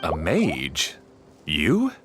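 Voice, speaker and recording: A man's voice: very deep voice, wildly swooping pitch, drawn-out words, over loud music, exaggerated voice. A man voicing a laid-back, mildly snarky caretaker with a hesitant, slangy manner in a video game. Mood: skeptical. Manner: hesitant slangy drawl with filler words.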